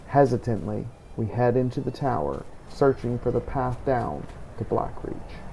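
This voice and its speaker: A man narrating a game playthrough in character, as a scripted story told in a grave, measured manner. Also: medium pitch, animated, creaky, background noise.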